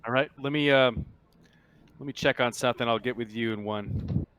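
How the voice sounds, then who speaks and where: A man narrating a tabletop roleplaying game as game master, improvising in an informal, halting way. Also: mid-range voice, a bit bright, clean tone.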